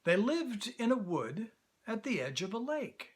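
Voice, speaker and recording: A man reading a children's story as an actor, in expressive, deliberate storybook narration. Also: high-pitched, breathy edge.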